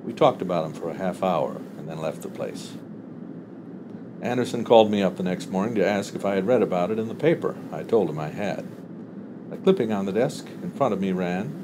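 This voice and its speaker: A man narrating a literary short story as an audiobook reader, with expressive delivery. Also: deep voice, background noise.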